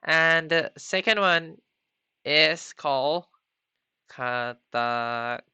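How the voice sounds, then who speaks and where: A man teaching a beginner Japanese class on a live stream, halting often with ums and restarts. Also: on the high side, sharp tone, clean tone, no room sound. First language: not Japanese. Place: home computer setup. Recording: slightly dull lo-fi stream audio.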